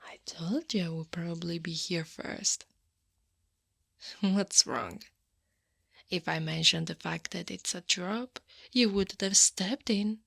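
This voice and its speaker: An Italian woman playing a tall, teasing Krampus monster woman in a soft, breathy ASMR roleplay, often dropping into a whisper. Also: fairly low pitch, takes it slowly, long pauses, no room sound, studio quality, heavily acted. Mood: coaxing, gently menacing. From Italy. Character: eight-foot horned furry Krampus woman, teasing, coaxing, in control.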